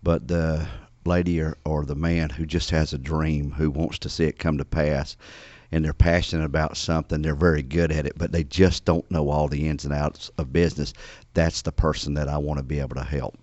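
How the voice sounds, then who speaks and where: A man's voice: very deep voice, level tone, brisk, darkish tone, no room sound. A man speaking as a business coach in a conversational interview, earnest and explanatory, with slightly muffled sound.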